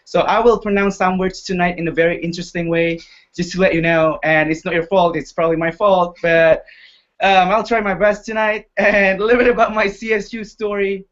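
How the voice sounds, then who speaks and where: A man's voice: high-pitched, fairly even intonation, a little fast, a bit bright, muffled recording. A young man from Indonesia whose first language is not English, introducing himself as a student panelist in a friendly, self-deprecating way with many fillers. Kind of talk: casual introduction on a live web chat.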